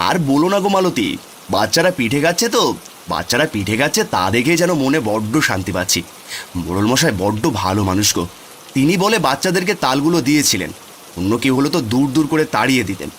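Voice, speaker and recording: A man's voice: mid-range voice, very animated, fast, a bit bright. An actor playing a humble, grateful poor village father in a cartoon fairy tale. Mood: contented. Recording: rain noise behind.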